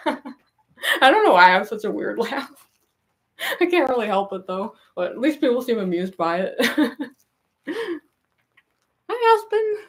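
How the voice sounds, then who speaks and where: A young woman with a gruff, raspy voice and a goofy laugh, chatting casually with viewers on a livestream, rambling with lots of fillers. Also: on the high side, big pitch swings, a little slow, long pauses. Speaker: cosplay livestreamer.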